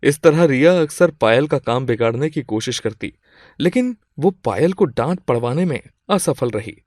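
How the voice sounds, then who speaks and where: A man's voice: mid-range voice, animated, normal speed, darkish tone, no echo, studio quality. A man reading scripted moral-story narration as the storyteller.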